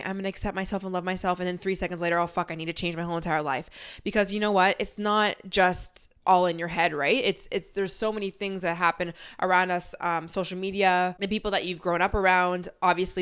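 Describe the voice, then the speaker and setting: A woman's voice: on the low side, fairly bright tone, smooth. A young woman in her twenties hosting a podcast, giving a candid, casual motivational pep talk full of rhetorical questions and the odd swear word. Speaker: podcast host.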